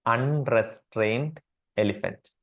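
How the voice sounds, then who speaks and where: A man with an Indian accent teaching a class on Sanskrit verses, repeating each term slowly with many fillers. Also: medium pitch, highs cut off. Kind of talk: explanatory class lecture.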